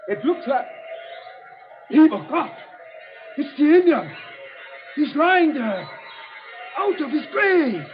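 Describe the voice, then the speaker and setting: An actor playing an uneasy, superstitious Nazi soldier in a 1940s radio drama, jittery and excitable. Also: male voice, very high voice, slow pace, long pauses, heavily acted. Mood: panicked. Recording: muffled 1940s radio recording, music behind.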